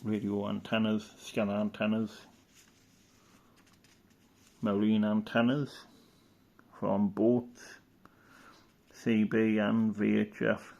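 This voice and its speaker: A man's voice: on the low side, monotone, slow, gravelly, a little mellow, muffled recording. A man giving casual, rambling show-and-tell commentary as a hobbyist, matter-of-fact and fond of tag questions. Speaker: hobbyist presenter.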